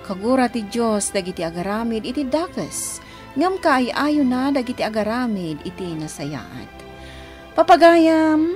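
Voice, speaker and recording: A woman's voice: fairly high pitch, darkish tone. A woman radio host giving a scripted program introduction and scripture reading.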